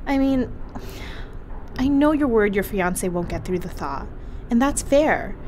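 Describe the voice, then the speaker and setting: A woman's voice: a little high, animated, unhurried, a little mellow, a little hiss or hum. An actress playing a bossy, confrontational crew woman who speaks sharply and sarcastically.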